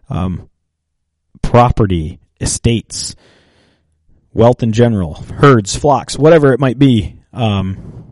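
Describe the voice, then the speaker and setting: A man's voice: fairly low pitch, a little mellow, dry recording. A man co-hosting a casual faith and finance chat, thinking aloud with many fillers and restarts. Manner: thoughtful, halting, with restarts and fillers. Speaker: podcast co-host.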